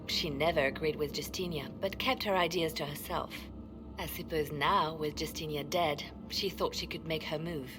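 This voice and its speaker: An actress playing a poised, devout and steely spymaster in a video game cutscene, speaking in a controlled, deliberate way. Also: female voice, deep voice, steady tone, lightly acted, rough recording. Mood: cold, accusing. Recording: music behind the voice.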